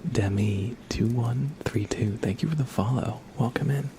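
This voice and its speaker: A soft-spoken man whispering gently and politely in a casual ASMR livestream, chatting with viewers and reading cards. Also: mid-range voice, normal speed, very noisy.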